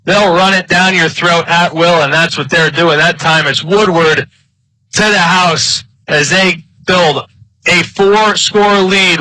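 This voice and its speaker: A man's voice: high-pitched, sharp tone, no room sound, poor audio. A man giving live football analysis as color commentator, emphatic and opinionated.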